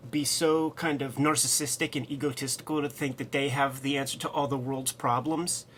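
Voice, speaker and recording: A man's voice: medium pitch, fairly even intonation, moderate pace, a bit bright, a little hiss or hum. A man co-hosting a casual comics discussion, enthusiastic and slangy, leaning hard on his points. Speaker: co-host.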